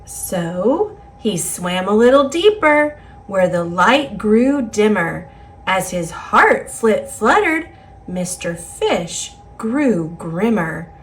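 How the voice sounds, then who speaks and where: A woman with an American accent reading a children's picture book aloud in an expressive, singsong rhyming delivery. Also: medium pitch, slow. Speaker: storybook reader.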